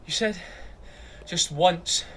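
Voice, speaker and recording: An actor playing a friendly, brisk male neighbour in a naturalistic audio drama. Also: high-pitched, noisy background.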